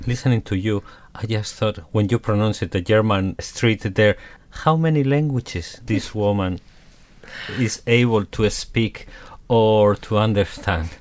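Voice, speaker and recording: A man interviewing a poet, asking curious questions at an unhurried pace. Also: fairly low pitch, a little mellow, no room sound.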